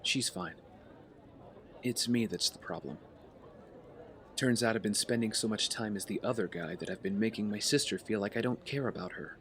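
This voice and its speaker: A man's voice: lowish voice, normal speed, long pauses, fairly bright tone, natural voice. A man playing an earnest young vigilante heir with a double life, sincere and reflective, in an audio drama.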